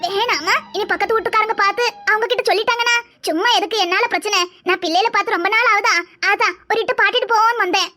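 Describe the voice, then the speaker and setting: A woman's voice: very high voice, quick pace, soft background music. A woman voicing an affectionate elderly grandmother in a cartoon, speaking with a southern Tamil Nadu accent and tender endearments, reluctant to impose. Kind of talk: cartoon character dialogue. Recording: very loud background noise.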